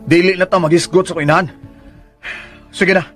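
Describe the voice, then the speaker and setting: A man's voice: high-pitched, steady tone, fairly quick, fairly bright tone, soft background music. A man playing a curt, stubborn young master with a Filipino accent in a radio drama, commanding and terse. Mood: impatient.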